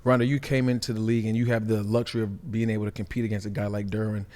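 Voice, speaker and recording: A man's voice: lowish voice, flat delivery, racing, dark tone. A man giving casual sports analysis as a former player, emphatic and seeking agreement as he goes. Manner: emphatic, repeats "right?" to check agreement.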